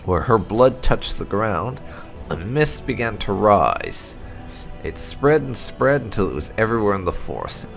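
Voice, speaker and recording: A man hosting a gaming channel, reading fantasy game lore aloud in a measured storytelling way. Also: fairly low pitch, big pitch swings, creaky. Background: background music.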